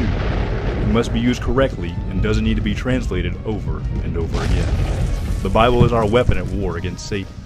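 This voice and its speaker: A man giving scripted narration and credits in an earnest, declarative manner. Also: fairly low pitch.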